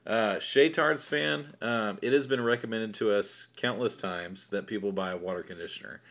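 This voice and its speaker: A man's voice: lowish voice, moderate pace, fairly bright tone. A man co-hosting a casual home video, explaining things patiently with uh fillers and repeated words. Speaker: co-host prompting and explaining.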